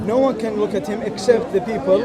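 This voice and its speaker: A man's voice: high-pitched, steady tone, fairly quick. A man whose first language is Arabic, explaining hesitantly in a street debate. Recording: loud crowd at outdoor debate.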